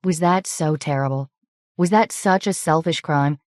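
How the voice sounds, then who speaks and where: A woman's voice: low-pitched, no room sound. Woman reading an audiobook, dry close sound. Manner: measured, even reading with light character shading. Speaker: audiobook narrator. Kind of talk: scripted narration.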